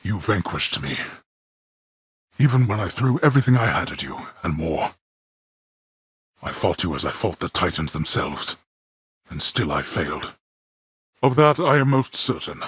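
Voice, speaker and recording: An actor playing a grim, imperious god of the dead and stern father in a video game, with a husky, gravelly voice and formal, archaic, menacing delivery. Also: sits low, very animated, unhurried, pauses often, fairly bright tone, no echo, clean studio sound, exaggerated voice. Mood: grave, defeated. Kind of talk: theatrical video game villain acting.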